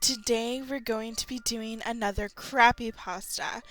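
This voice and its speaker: A woman's voice: a little high, steady tone, unhurried, fairly bright tone. Sarcastic woman with a nasal cold voice. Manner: sarcastic, exasperated. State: has a cold. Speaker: channel host reading horror stories. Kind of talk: story reading with mocking commentary.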